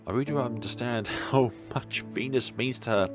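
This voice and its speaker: A man reading a visual novel aloud as a let's player, in expressive first-person narration. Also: medium pitch, normal speed. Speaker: video game let's player. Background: guitar music.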